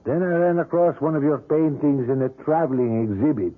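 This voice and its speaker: An actor playing a courteous, obsessive older sculptor in a 1970s radio drama, speaking in an ornate, deliberate and insistent manner. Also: male voice, a little high, lightly acted. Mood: reassuring. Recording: phone-like narrowband old radio recording.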